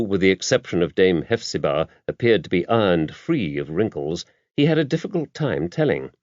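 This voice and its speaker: A man reading mystery fiction as an audiobook narrator, in a measured, expressive storytelling style. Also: sits low, dry recording. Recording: slightly dull, dry audiobook recording.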